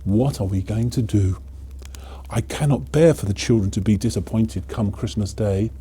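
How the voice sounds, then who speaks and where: Kindly male toymaker for a storybook, read by a man: anxious, earnest, low dark voice. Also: moderate pace, husky. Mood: worried. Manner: earnest, worried.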